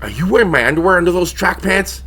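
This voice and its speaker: A man's voice: high-pitched, lively intonation, fast talker, sharp tone, exaggerated voice. A man playing a sarcastic, put-upon housemate of monster hunters in a comedy-horror audio drama. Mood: weary, griping. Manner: sarcastic, griping.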